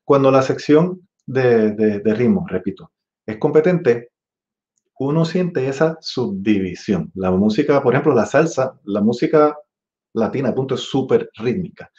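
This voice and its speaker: A man in his forties with a Puerto Rican accent, teaching an online music masterclass in a relaxed, chatty way, singing rhythms with syllables. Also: medium pitch, measured pace. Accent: Puerto Rican Spanish. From Puerto Rico. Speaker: trumpet teacher. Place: room with green wall. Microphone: webcam at desk. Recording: dull lo-fi webcam audio.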